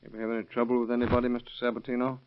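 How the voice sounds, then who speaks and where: A man's voice: mid-range voice, completely flat delivery, a little hiss or hum, natural voice. A man playing a polite, hesitant police investigator questioning someone in a 1930s radio crime drama. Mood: polite, probing. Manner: hesitant, courteous, measured questioning. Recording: dull lo-fi vintage radio recording.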